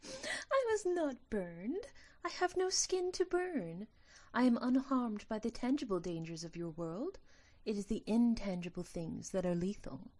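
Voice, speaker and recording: A woman's voice: a little high, big pitch swings, measured pace, dark tone. A woman playing a tiny, affectionate sylph in an audio drama, innocent and cryptic, with precise, formal phrasing. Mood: matter-of-fact.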